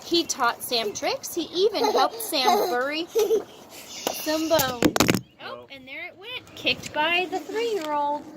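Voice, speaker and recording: A woman reading a children's story aloud outdoors in expressive, deliberate phrasing, with chatty asides. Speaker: storybook reader. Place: outdoors at a park. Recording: noisy outdoor amateur recording, ambient rumble.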